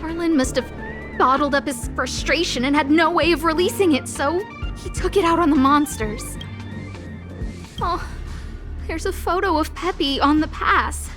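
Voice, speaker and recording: A woman's voice: high voice, moderate pace, long pauses, music in the background, natural voice. A woman voicing an earnest, fretful young female boss in a video game, full of guilt. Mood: worried, regretful.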